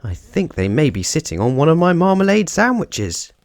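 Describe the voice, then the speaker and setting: A man's voice: a little high, sing-song, normal speed, no room sound, clean studio sound, voice pushed up. Man putting on a polite, earnest little storybook bear. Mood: sheepish. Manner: courteous, earnest phrasing. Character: polite, earnest young bear, easily delighted. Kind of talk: children's storybook read-aloud.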